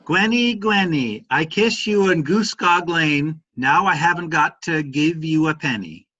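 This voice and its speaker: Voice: mid-range voice, measured pace, sharp tone, muffled recording. A performer voicing Billy, a cheeky schoolboy, speaking in a cocky, boastful way.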